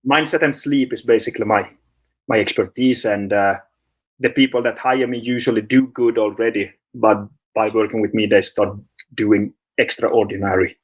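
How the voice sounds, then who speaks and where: A man's voice: fairly low pitch, steady tone, moderate pace. A man from Finland, a sleep coach, answering interview questions in a hesitant way, with many fillers, restarts and laughs.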